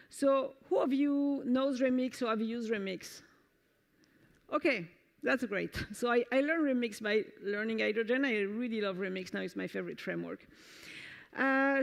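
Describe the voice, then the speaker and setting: A woman with a French accent presents a technical conference talk, walking the audience through a live code demo. She sounds enthusiastic and often restarts her sentences or fills pauses with "uh". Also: a little high. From France. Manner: enthusiastic, frequent fillers and restarts. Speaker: conference speaker. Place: conference stage before an audience.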